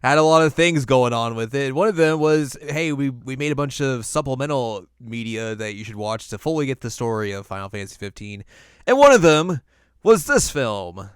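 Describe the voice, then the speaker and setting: A man's voice: medium pitch, animated, moderate pace, clear, resonant tone, no echo, clean studio sound. A man co-hosting a podcast in casual conversation, informal and wry, repeating words for emphasis. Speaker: podcast co-host. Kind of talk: casual chat, film ranking discussion.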